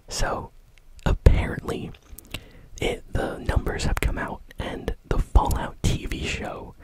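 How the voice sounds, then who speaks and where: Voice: very high voice, low-quality recording. A person whispering casually in an ASMR style, hosting a news update in informal, conversational phrasing. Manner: hushed whispering throughout. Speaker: gaming news host.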